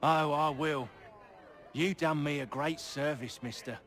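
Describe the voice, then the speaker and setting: An actor playing a brash, scrappy young rogue and fixer in a colonial-era video game, slangy and cheeky. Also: male voice, on the high side, measured pace, a bit bright. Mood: earnest, obliging. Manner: slangy, cheeky, rough-spoken. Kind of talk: video game cutscene acting.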